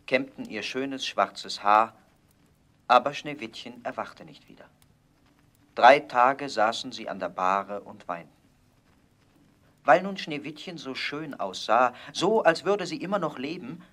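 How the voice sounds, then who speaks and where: A man narrates a fairy tale in a scripted storybook audio play. His voice sits at an ordinary middle pitch, and he tells the story at a calm, measured pace.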